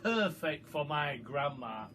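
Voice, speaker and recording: Man acting a mischievous storybook boy, excitable, eager schemer, bright high voice, some background noise. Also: takes it slowly, exaggerated voice. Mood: scheming. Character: mischievous, excitable young boy plotting against grandma. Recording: far from the mic, noisy background.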